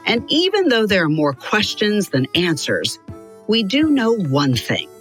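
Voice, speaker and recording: A woman reading a scripted story for children in a measured, expressive storyteller's delivery. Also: medium pitch. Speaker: podcast narrator. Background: music under the voice.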